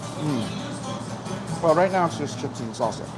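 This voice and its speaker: A man's voice: fairly high pitch, expressive, unhurried, poor audio. A man chatting casually to a livestream while he eats, talking between bites with savoring hums. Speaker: livestream host. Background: music.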